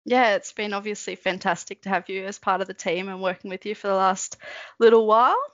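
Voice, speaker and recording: A woman hosting a podcast, reading a prepared introduction in a clear, measured way and then interviewing her guest. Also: mid-range voice, steady tone, fairly bright tone, no echo, dull audio. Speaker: podcast host.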